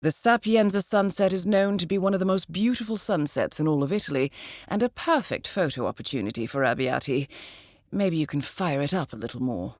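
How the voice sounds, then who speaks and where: An actress playing a cool, composed intelligence handler who briefs an assassin in a video game, speaking formally and with dry understatement. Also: female voice, deep voice, animated, a little fast, no echo.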